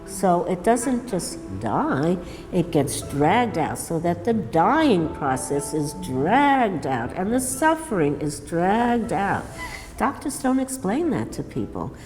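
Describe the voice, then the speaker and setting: An elderly New woman in her eighties speaks in a low, grounded voice. She talks plainly and frankly, thinking aloud at her own home. Music plays clearly beneath her words. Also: sing-song, slow, darkish tone. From Brooklyn, New York. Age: 80s. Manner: frank, plain-spoken and reflective. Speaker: retired nurse and death cafe organizer. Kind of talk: documentary interview talk.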